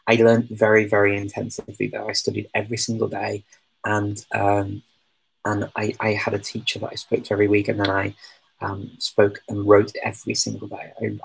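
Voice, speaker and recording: A man with a low, gravelly voice answers viewer questions in a relaxed live chat. He hesitates a lot, restarting his sentences and filling the gaps with soft ums. His tone stays husky and modest throughout. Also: low-quality recording. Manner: hesitant, many ums and restarts, self-deprecating. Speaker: language-learning streamer answering viewer questions.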